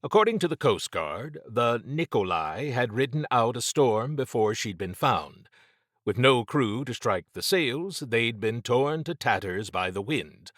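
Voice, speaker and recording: A man's voice: medium pitch, sing-song, a little slow, fairly bright tone, no room sound, clean studio sound. A man narrating scripted fiction as a dramatic audiobook reader.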